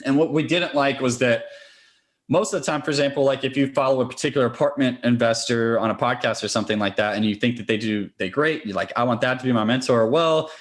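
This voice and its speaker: A man's voice: mid-range voice, quick pace. A man speaking as a podcast interview guest, a real estate investor explaining things conversationally and step by step.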